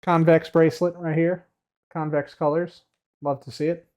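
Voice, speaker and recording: A man's voice: on the high side, level tone, normal speed. A man chatting casually on a live stream, answering viewer questions, rambling and repeating himself with many fillers, his voice a little tired from heavy use. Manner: trails off quietly at the ends of phrases; reads viewer questions aloud from chat.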